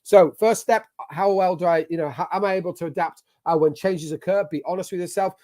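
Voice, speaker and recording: A man's voice: high-pitched, fairly quick, warm tone. A man with an English accent giving an online masterclass as a business coach, talking in an emphatic, motivational way. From England. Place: at a computer sharing his screen.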